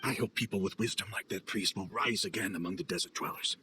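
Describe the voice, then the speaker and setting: Bright-voiced, dignified mercenary man. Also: low voice, slight background noise. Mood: solemn, admiring. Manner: solemn, measured, rhetorical. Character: dignified, heartfelt male desert mercenary leader. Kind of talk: video game cutscene voice acting. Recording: faint music behind voice.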